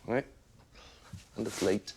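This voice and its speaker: A man playing a manipulative, dismissive boyfriend in a dark comedy film, with a husky voice and a casual, condescending way of talking. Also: fairly low pitch, slow pace, a little hiss or hum. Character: manipulative, dismissive boyfriend, smoothly guilt-tripping.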